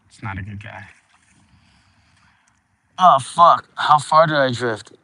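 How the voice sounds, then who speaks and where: A man's voice: mid-range voice, expressive, slow, bright, forceful tone, no room sound. A Cuban man livestreaming from a small boat out on open water, rambling and swearing while chatting with his viewers through his phone. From Cuba. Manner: profane, rambling, stoned banter with viewers.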